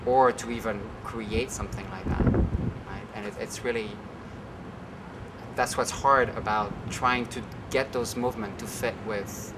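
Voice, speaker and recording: A man from Belgium, a choreographer and ballet dancer, talking casually and thoughtfully in an interview, often pausing with fillers as he searches for words. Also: mid-range voice, steady tone, distant mic, very noisy.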